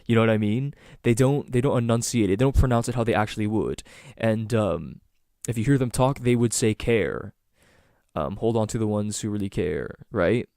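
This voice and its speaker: A man's voice: lowish voice, moderate pace, darkish tone, no room sound, clean studio sound. A young man from Southern Ontario with a Toronto-area Canadian accent, hosting a casual solo podcast and rambling with many ums and restarts. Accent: Canadian, Greater Toronto Area. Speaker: podcast host.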